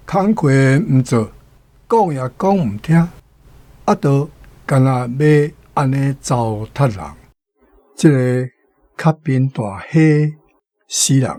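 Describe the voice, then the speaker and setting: A man's voice: medium pitch, dark tone, dry recording, studio quality. A man casually explaining the wording of a story, rambling with fillers. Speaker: guest commentator. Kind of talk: casual explanation of word usage.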